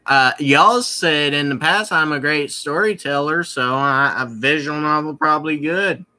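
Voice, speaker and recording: A man's voice: a little high, measured pace, sharp tone. A man doing casual live-stream commentary while reading a visual novel, rambling and stumbling over his words as he repeats phrases.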